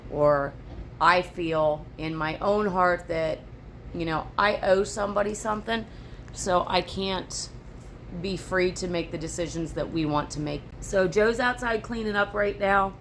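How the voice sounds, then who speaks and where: A woman with a lowish, raspy voice talks casually to her phone camera at home. She rambles in a chatty, down-to-earth way, and a faint hum of ambient noise sits under her voice. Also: measured pace. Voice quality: raspy from allergies. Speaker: homesteading vlogger. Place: at home on a rural homestead. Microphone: phone camera, later on a tripod.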